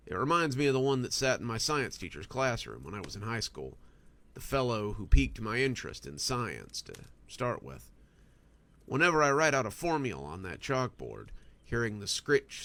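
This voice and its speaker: A man reading a scripted first-person story as a narrator, with an expressive storytelling delivery. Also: fairly low pitch.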